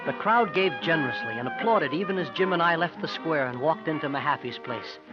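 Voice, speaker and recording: Loyal young male narrator-sidekick played by an actor, high earnest voice, muffled old-time radio adventure. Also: lively intonation, a little fast, over loud music, close to an everyday voice. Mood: calm narration. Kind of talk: 1950s radio drama narration and dialogue. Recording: muffled 1950s radio broadcast.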